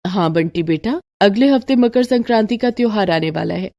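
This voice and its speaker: A woman voicing a gentle, devout, humble young mother in a cartoon moral story, speaking softly and patiently. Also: medium pitch, expressive, normal speed, no room sound, highs cut off, close to an everyday voice. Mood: gentle, earnest.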